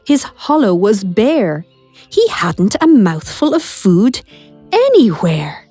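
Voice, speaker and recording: A woman reading a rhyming children's picture book aloud as a storyteller, with a dramatic, deliberate delivery. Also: mid-range voice, big pitch swings, warm tone, husky, no room sound. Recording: music behind the voice. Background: music.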